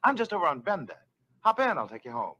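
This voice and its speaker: A man's voice: on the high side, wildly swooping pitch, quick pace, pushed and bright, breathy edge, heavily acted. An actor playing a middle-aged killer who poses as a friendly insurance man, coaxing and insinuating, in vintage radio thriller style. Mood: affable, probing. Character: smooth, menacing forty-ish male strangler posing as friendly insurance man. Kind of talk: 1940s-50s radio thriller acting.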